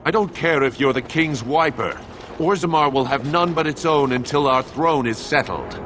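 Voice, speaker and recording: An actor playing an unyielding dwarven gate guard in a video game, blunt and official. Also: male voice, a little high, moderate pace, noisy background, lightly acted. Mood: firm, dismissive.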